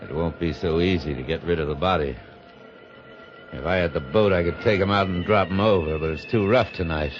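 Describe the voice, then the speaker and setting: An actor playing a terse, wary killer who speaks conspiratorially in a 1950s radio mystery. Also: male voice, sits low, fairly quick, over music, lightly acted. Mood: wary, secretive. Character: terse, wary male killer planning a cover-up. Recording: muffled, noisy old radio broadcast.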